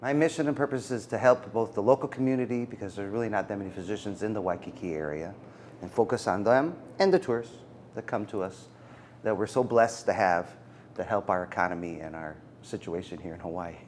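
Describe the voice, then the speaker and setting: Earnest middle-aged male doctor, low voice. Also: darkish tone, slight background noise. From United States. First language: Macedonian. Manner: earnest, halting with fillers. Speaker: physician guest. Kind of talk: interview answers.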